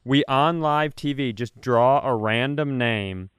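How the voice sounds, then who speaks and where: Man, comedy podcast co-host, crisp clear voice, dry sound, casual rambling enthusiastic chat. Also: mid-range voice, takes it slowly, fairly bright tone, no echo.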